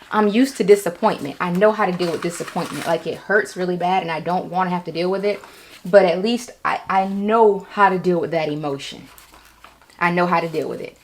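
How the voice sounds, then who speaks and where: A woman vlogging casually and reflectively, sounding nervous, with halting speech that circles back and repeats phrases for emphasis. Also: lowish voice. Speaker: vlogger, writer and storyteller. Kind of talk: casual, reflective vlog talk.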